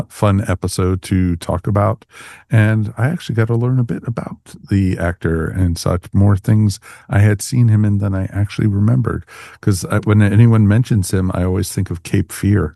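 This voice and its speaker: Man, podcast host, fairly low pitch, casual chat, informal delivery, dry sound. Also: normal speed, creaky, mellow, no echo. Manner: chatty, informal.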